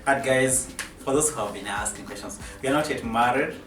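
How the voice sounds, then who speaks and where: A young Kenyan man, not a native English speaker, co-hosting a casual chat, playful and laughing, with halting phrasing. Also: mid-range voice, a bit bright. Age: young adult. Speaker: co-host. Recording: echoey room, mic at a distance, clear music behind.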